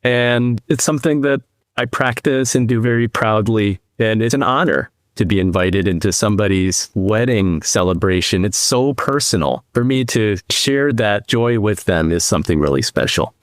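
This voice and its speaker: Measured middle-aged man, dry close sound. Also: lowish voice, no room sound. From New Jersey, US East Coast. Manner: measured, reflective, speaks in long explanatory runs. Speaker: podcast guest, financial advisor. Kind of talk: conversational interview storytelling.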